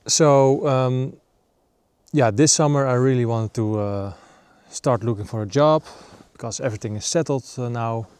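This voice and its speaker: A man in his thirties from the Netherlands, a native Dutch speaker, talking casually to camera in a halting way with many fillers. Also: mid-range voice, a little mellow, clean tone, no echo. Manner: halting, many ums and uhs. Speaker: nature vlogger. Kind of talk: casual vlog talk to camera. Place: outdoors in northern Sweden.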